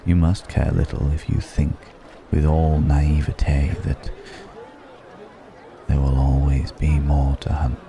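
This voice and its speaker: A man playing a mysterious, philosophical ancient dragon disguised as a stranger, speaking in an intimate ASMR roleplay with long, pensive pauses. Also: very deep voice, little pitch movement, a crowd in the background, background noise, full character voice. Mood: quietly reproachful. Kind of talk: ASMR roleplay, slow intimate dramatic monologue.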